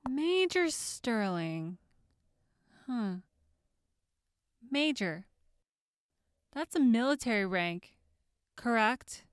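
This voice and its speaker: A woman's voice: a little high, big pitch swings, very slow, pauses often, clear, resonant tone, dry recording, clean studio sound, full character voice. An actress playing a composed woman prosecutor who questions formally and pointedly in an audio drama courtroom. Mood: cool, pointed.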